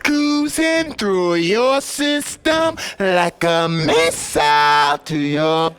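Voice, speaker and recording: A man's rhythmic vocal sample, processed through a vocoder with shifted, quantized pitch. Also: extremely slow, fairly bright tone, clean tone, faint music behind. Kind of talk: vocal sample for a plugin demo, slow and rhythmic.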